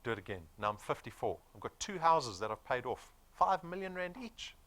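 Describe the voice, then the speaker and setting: A man's voice: mid-range voice, very animated, a little fast, fairly bright tone. A man of forty with a South African accent, giving a motivational business presentation in an emphatic, persuasive manner with rhetorical questions. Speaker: sales presenter.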